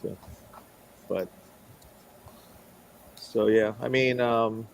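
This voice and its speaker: A man with a fairly low voice talks casually as a podcast co-host. He rambles and hedges, feeling his way through his thoughts in an informal chat. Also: fairly even intonation, extremely slow, vocal fry, a little hiss or hum, poor audio. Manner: rambling, hesitant, hedging.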